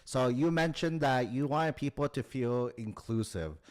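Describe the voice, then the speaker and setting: A man's voice: mid-range voice, expressive, a little slow. A man working as an admissions coach, asking layered follow-up questions in a casual, probing way and often tagging on "right?". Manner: probing, repeats "right?" and "as well too".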